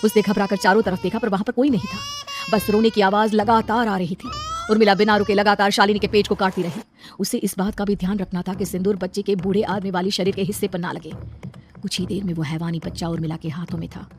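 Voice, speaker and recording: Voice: mid-range voice, steady tone, fast, noisy background. A narrator reading a scripted horror story in a dramatic storytelling style.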